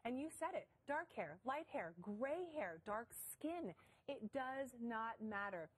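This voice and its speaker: A woman's voice: a little high, animated, slow, slightly rough. Upbeat woman with an Ohio accent. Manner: upbeat, emphatic selling patter. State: pregnant. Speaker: product spokeswoman. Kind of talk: shopping channel product pitch.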